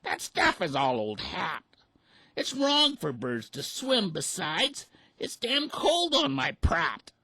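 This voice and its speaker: A man voicing a cheeky, rebellious young male duckling in a squeaky, sassy falsetto, in a rhymed storybook recitation. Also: wildly swooping pitch, slow, pushed and bright, husky, dry recording, exaggerated voice.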